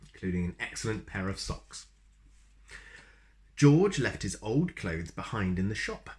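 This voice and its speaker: A man reading a picture book aloud as a storyteller, expressive and unhurried, with little asides. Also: on the low side. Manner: unhurried, animated.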